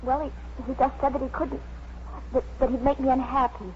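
Actress as an anxious, high-voiced young woman. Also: little pitch movement, brisk, close to an everyday voice. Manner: hesitant, pleading, stammering. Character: anxious, fragile young woman, former fiancée. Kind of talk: 1950s radio drama acting. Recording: muffled, hissy 1950s radio broadcast.

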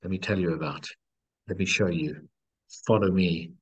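Deep, husky man telling a story. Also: moderate pace. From South Africa. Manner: earnest, encouraging, repeats key phrases. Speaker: life coach guest. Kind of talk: reflective storytelling in conversation.

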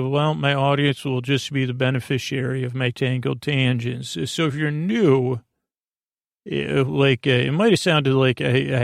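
A man hosting a podcast with a goofy, improvised bedtime monologue, halting and meandering as he repeats himself and trails off mid-word. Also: medium pitch, gravelly, dry recording, clean studio sound. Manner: goofy, silly, self-deprecating. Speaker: podcast host.

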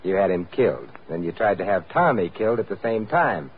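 A man's voice: sits low, very animated, a little fast, slightly rough, exaggerated voice. A man playing a suave, wry, confident adventurer-sleuth in 1940s radio style. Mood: cool, taunting. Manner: urbane, wry. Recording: tinny, noisy old radio recording.

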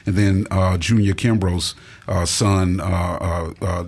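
A man co-hosting a casual radio show, telling things in a halting, emphatic way with asides. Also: deep voice, steady tone, a little mellow.

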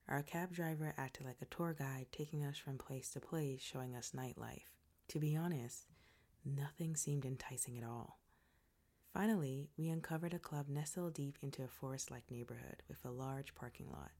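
A woman narrating a personal story in a soft, breathy, measured way. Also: low voice.

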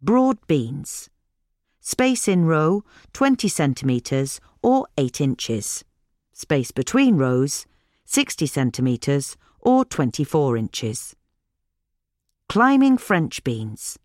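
A woman reading a scripted instructional piece in a measured, clearly enunciated way, as a magazine narrator. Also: deep voice, sing-song, darkish tone, no echo, studio quality.

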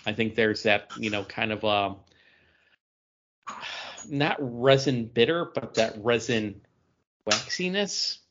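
A man's voice: on the low side, slow pace, pauses often, background noise. Musing man on a lo-fi podcast. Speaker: podcast co-host. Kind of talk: casual chat, tasting review. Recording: dull, lo-fi audio.

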